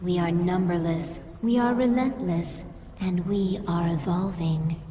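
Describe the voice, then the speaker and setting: A woman's voice: lowish voice, measured pace, dark tone, a little hiss or hum, lightly acted. A woman playing a loyal, menacing alien servant of the swarm in a video game, solemn and declamatory. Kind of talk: dramatic video game acting. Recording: long reverb, distant.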